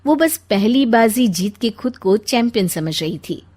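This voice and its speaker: A woman's voice: on the high side, animated, measured pace, a little mellow. A woman narrator reading a scripted comic story.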